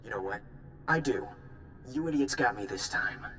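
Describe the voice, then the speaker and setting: Male actor as game crime thug, sneering, boastful, defiant, medium pitch, rumbling noise, reverb. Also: expressive, normal speed, a bit bright, natural voice. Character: defiant, contemptuous male career gangster in custody. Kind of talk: video game character dialogue.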